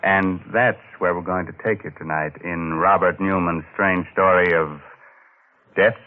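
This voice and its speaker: A man hosts a vintage radio mystery with theatrical, tongue-in-cheek menace. His voice is low and measured with pauses, on a band-limited old recording. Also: fairly even intonation, phone-quality sound, slight background noise. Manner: mock-sinister, theatrical, droll, deliberate pauses. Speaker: mystery show host and narrator. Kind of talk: 1940s radio horror hosting, scripted narration.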